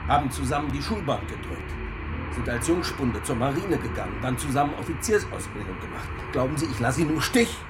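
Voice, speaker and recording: An actor playing a gruff, loyal, hot-tempered middle-aged submarine commander in a radio drama, blunt in his speech. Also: medium pitch, sing-song, fairly quick, over loud music, close to an everyday voice, poor audio. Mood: angry, determined.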